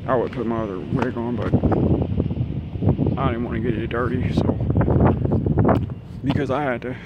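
A man's voice: normal speed, lo-fi sound. A young adult American man chatting casually as a vlogger, rambling and trailing off as he thinks aloud into a handheld phone. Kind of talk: casual rambling vlog chat. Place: mostly inside a van, partly in an empty mall. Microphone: phone at arm's length. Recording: noisy handheld recording with vehicle rumble.

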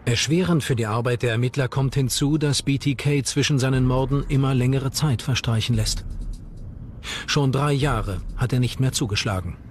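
A man's voice: mid-range voice, normal speed, a little hiss or hum. A man reading scripted true-crime documentary narration. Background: background music.

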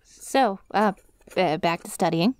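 A woman's voice: on the low side, expressive, takes it slowly, a little mellow, dry recording, studio quality. A woman voicing a friendly, scatterbrained dog-girl student in an ASMR roleplay, with a casual, rambling, easily sidetracked manner. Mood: sheepish, rambling. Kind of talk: ASMR roleplay, one-sided conversation with listener.

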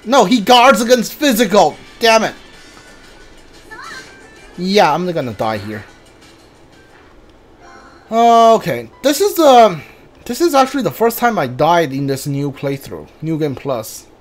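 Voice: medium pitch, very animated, measured pace, long pauses. A video game streamer giving casual playthrough commentary, thinking aloud and muttering reactions while playing. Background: game music.

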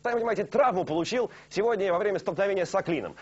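A man's voice: high-pitched, rapid-fire, slightly rough. A young man hosting a late-night TV show from a studio sofa, talking live with a joking, teasing manner. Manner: joking, teasing, lively and animated. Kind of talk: playful live studio banter. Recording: dull lo-fi broadcast tape.

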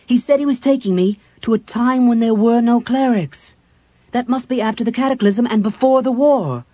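A woman's voice: mid-range voice, expressive, a little slow, darkish tone, breathy edge, no room sound, pitched above the performer's own voice. A performer voicing an earnest, devout young woman cleric, breathless and agitated, in a dramatic fantasy audiobook. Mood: anxious excitement.

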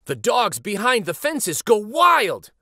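A middle-aged man reads a picture book aloud as host, in an expressive, dramatic manner. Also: high-pitched, slow pace, heavy background noise. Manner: animated, dramatic. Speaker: children's storybook reader and show host.

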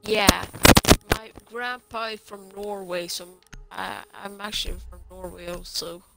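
Swedish woman teammate, slow unhurried talk, blunt and sweary, music playing behind. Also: mid-range voice, fairly even intonation, vocal fry, rough recording. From Sweden. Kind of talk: casual gaming chat.

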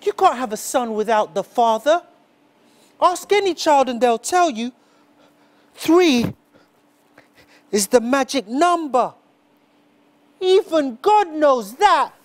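A lonely, high-voiced young boy. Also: expressive, exaggerated voice. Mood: bitter. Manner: earnest, slow and deliberate, rising pitch on key words. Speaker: stage performer. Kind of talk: theatrical spoken-word monologue. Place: dark smoky theatre stage.

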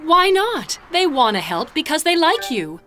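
A woman voicing a kind, big-sisterly female rescue vehicle in a children's cartoon, encouraging and expressive. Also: high-pitched, unhurried. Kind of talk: animated children's cartoon dub acting. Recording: music under the voice.

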